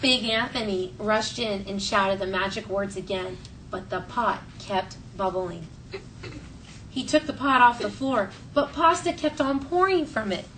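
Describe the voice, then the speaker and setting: A woman teacher reading a story aloud to children in an expressive, clearly phrased way and talking to the class. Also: mid-range voice, measured pace. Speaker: elementary school teacher. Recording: distant mic, faint classroom noise.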